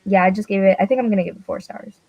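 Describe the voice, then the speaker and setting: A woman's voice: mid-range voice, rapid-fire, gravelly, mellow, husky, no echo. A young woman, a book vlogger, chatting casually in a rambling, self-deprecating way with many fillers. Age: young adult. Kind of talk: casual chat.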